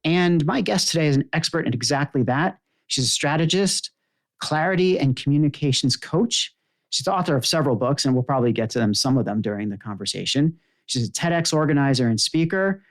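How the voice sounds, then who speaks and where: A man's voice: on the high side, animated, brisk. A man hosting a podcast in a casual, conversational style. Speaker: podcast host.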